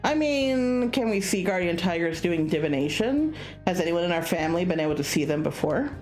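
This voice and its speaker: A woman's voice: lowish voice, expressive, a little fast, darkish tone, faint music behind, lightly acted. A woman playing a curious, polite young male student in a visual novel, speaking in a hesitant, conversational way.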